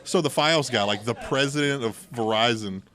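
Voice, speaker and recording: Male podcast host, mid-pitched and informal, spinning personal anecdotes conversationally and voicing quoted exchanges. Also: normal speed, crowd noise. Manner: informal, acts out quoted dialogue. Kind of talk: casual anecdotal storytelling chat.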